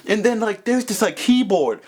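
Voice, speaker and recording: Loud animated young man telling a story. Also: sits high, normal speed. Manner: animated, swings between mumbled asides and loud exclaims. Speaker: vlogger. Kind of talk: comedic storytelling vlog.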